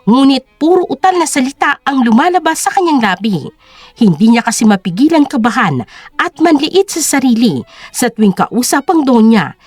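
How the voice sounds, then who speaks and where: High-voiced woman narrating a drama. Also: a little mellow, no room sound. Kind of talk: scripted dramatic story narration.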